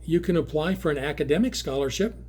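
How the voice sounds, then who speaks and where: Man acting sympathetic, steady coach, slightly higher-pitched. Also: husky. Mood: sympathetic, regretful. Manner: patient, measured, apologetic. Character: sympathetic, even-keeled male coach breaking bad news. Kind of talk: audio drama audition read.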